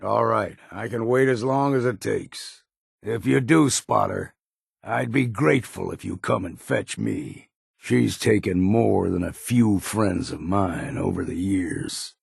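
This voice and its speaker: Gravelly, grizzled old harbor hunter. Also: male voice, lowish voice, slow, no echo, clean studio sound, heavily acted. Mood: wistful, determined. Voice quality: gravelly, rasping. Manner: folksy, blunt, weathered. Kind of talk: video game character acting.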